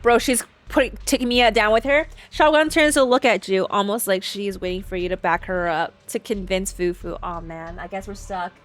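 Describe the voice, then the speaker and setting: A woman live streaming a game reaction, slangy and animated, switching between casual comments and reading dialogue aloud. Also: medium pitch, moderate pace, hardly any pauses.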